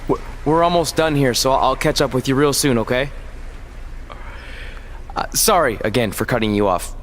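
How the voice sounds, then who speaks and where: An actor playing an earnest, flustered young male wrestler in a video game, with a halting, stammering delivery. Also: mid-range voice, slight background noise, lightly acted. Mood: flustered, hopeful. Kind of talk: video game cutscene voice acting.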